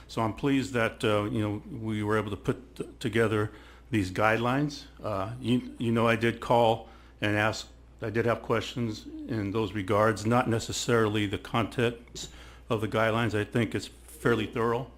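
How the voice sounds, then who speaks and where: Lowish voiced guy, council member at a public meeting, halting, repeats words while he thinks it through. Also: fairly even intonation. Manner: halting, repetitive, searching for words. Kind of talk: deliberative public meeting remarks.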